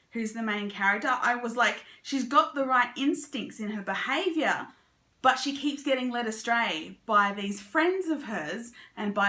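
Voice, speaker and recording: A woman's voice: a little high, pushed and bright, roomy sound. A woman giving a chatty, casual book review as a vlogger. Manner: chatty, conversational.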